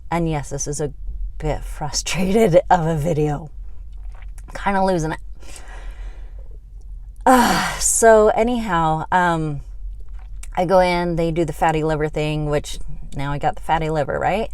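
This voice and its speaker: A woman's voice: sits low, unhurried, long pauses, a little mellow, no room sound. A middle-aged woman giving a frustrated, rambling update on her health, venting with many fillers. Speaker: health vlogger.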